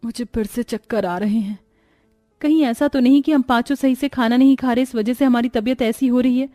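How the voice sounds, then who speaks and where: A woman's voice: on the high side, a little fast, mellow, no room sound, soft background music, natural voice. A woman playing a meek, suffering young pregnant daughter-in-law in a cartoon story, speaking timidly and plaintively. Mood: frightened. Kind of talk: Hindi cartoon story voice acting.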